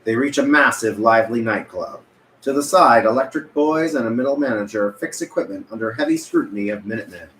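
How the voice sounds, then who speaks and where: A man's voice: mid-range voice, fairly quick, a bit bright. A man reading stage directions at a script table read, occasionally stumbling and correcting himself. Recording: echoey room with background noise.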